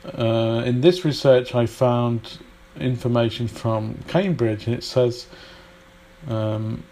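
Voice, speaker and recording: A man's voice: mid-range voice, steady tone, slow pace, dark tone, slight background noise. A man from Britain whose British English accent carries some Polish influence, teaching an informal English lesson in a rambling, hesitant way with many fillers and restarts.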